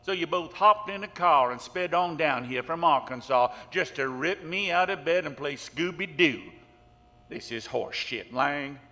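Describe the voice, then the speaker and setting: An actor playing a sarcastic, foul-mouthed small-town Louisiana sheriff in an audio horror drama, gruff and dismissive. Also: male voice, on the high side, expressive, measured pace, bright, forceful tone. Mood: scornful, irritated. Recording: very reverberant, with noise behind.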